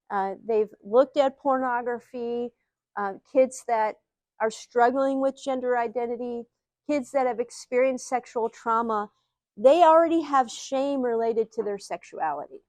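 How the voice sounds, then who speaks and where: A middle-aged woman with an Ohio Midwestern accent gives a live teaching talk. Her voice sits somewhat high, and the sound is very dry with no room echo. She speaks earnestly, building her points with rhetorical questions to the audience. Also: a little slow, a little mellow. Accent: Ohio Midwestern American. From Ohio, USA. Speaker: speaker, psychologist.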